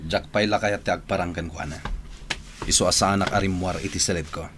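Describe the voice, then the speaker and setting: A low male voice, an actor as a brooding boss with troubles on his mind. He gives curt, sullen orders in the manner of an Ilocano radio drama. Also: steady tone, quick pace, background noise, natural voice.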